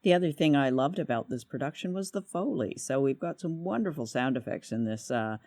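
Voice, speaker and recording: A man from Vancouver with a Canadian accent, hosting a conversational review show in a measured, slightly hesitant way. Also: medium pitch, lively intonation, a little mellow, no room sound, studio quality. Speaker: podcast host. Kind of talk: conversational radio drama review.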